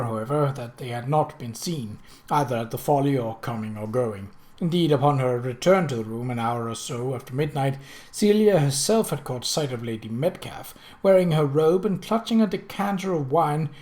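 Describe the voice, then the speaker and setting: A storyteller reads a period mystery novel in a formal, expressive way. The voice sits at a middle pitch, between low and high. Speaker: audiobook reader.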